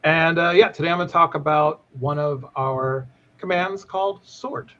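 A man's voice: on the high side, fairly even intonation, moderate pace, pushed and bright. A man, chatting casually as co-host on a live stream. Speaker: co-host, developer advocate. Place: indoor room, on a video call. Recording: dull, noisy webcam audio.

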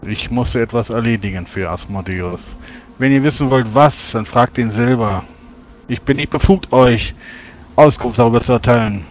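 A man's voice: medium pitch, slight background noise, lightly acted. A man voicing a haughty male agent of a court mage in a tabletop game, speaking formally and commandingly. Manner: formal, commanding, measured threats.

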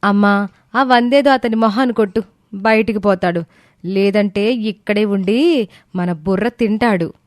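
A woman's voice: medium pitch, unhurried, long pauses, dry recording, studio quality, lightly acted. A woman voicing a long-suffering, resentful young married daughter who complains plaintively in an audio story. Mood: irritated.

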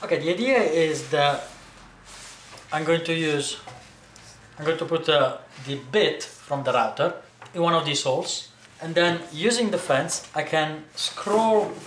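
In a roomy, echoing indoor workshop with some noise behind him, a man in his forties explains a DIY job. He talks casually and haltingly, thinking aloud, picked up by a camera mic at some distance. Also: a little high, a bit bright. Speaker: DIY video maker. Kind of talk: casual hands-on workshop tutorial narration. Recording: echoey room, faint background noise.

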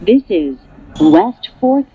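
A woman making recorded subway announcements in formal, clearly segmented phrases, over train ambience. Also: medium pitch, sing-song, takes it slowly, dark tone, faint music behind. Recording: dull lo-fi audio with vehicle noise.

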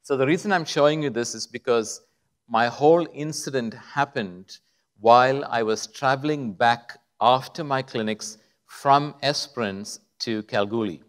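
A man's voice: medium pitch, measured pace. Man with a mixed Indian accent on stage. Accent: mixed Indian and Middle Eastern. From born in Kuwait to South Indian parents. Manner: friendly storytelling with light humour. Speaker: physician giving a testimony talk. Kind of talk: conference talk. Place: stage of a large auditorium. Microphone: podium mic.

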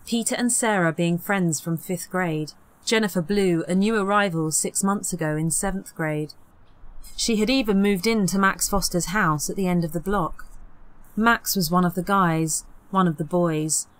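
Low-voiced woman reading an audiobook. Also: normal speed, low-quality recording. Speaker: audiobook narrator. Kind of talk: scripted horror story narration.

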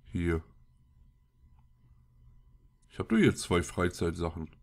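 A man's voice: low voice, sing-song, slow, a little mellow. A man with a cold and a scratchy throat, giving casual, colloquial gameplay commentary as he mutters to himself. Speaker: let's play host.

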